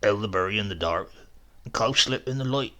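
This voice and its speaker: A man voicing a sly, feisty, dying old countryman in a story, with a rural English accent and a weary, breathy croak. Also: on the low side, lively intonation, brisk, bright, forceful tone. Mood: sly, conspiratorial. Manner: rustic, grumbling, conspiratorial.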